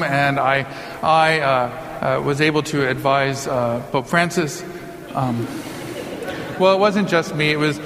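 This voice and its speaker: A man's voice: on the high side, fairly bright tone. A man giving an informal homily as a priest, conversational and given to joking asides. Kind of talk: informal sermon. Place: large church or hall, live before a congregation. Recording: reverberant hall, long echo.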